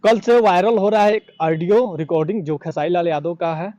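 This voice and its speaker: A man presenting news informally and unscripted, halting and repeating himself, in a dull lo-fi recording. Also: high-pitched, mellow, no echo.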